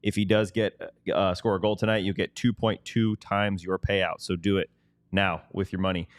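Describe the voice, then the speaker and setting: A man with a fairly low voice co-hosts a sports show in casual, conversational style. The sound is dry and close. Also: level tone, normal speed, no echo. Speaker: sports podcast co-host. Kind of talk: casual sports talk and ad reads.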